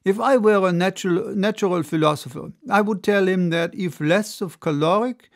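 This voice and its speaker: Storybook orator, male, acted by a male reader: bold, grandiloquent, emphatic delivery, highish and creaky. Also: moderate pace. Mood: courteous, reasoning. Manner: declamatory, emphatic.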